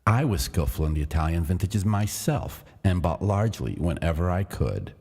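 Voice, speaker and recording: Deep-voiced man reading a gothic tale. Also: expressive, moderate pace, mellow, breathy edge, in a large hall. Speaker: narrator.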